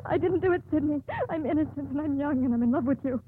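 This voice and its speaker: An actress playing a young condemned woman, anguished and deeply in love, in a 1950s radio melodrama. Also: fast, a little hiss or hum, lightly acted. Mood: desperate. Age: young adult. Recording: muffled old 1950s radio broadcast.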